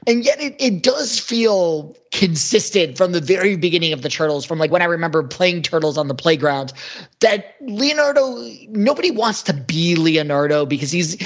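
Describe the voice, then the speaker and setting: A man talking casually as a podcast panelist, rambling and halting as he repeats words to build a point. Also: high-pitched. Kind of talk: casual pop-culture discussion. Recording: dull lo-fi audio.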